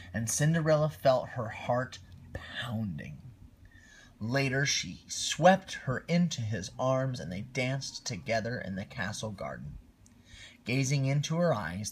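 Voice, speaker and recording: A man reading a bedtime story aloud to his child on a phone livestream, expressive with quiet asides. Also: medium pitch, husky.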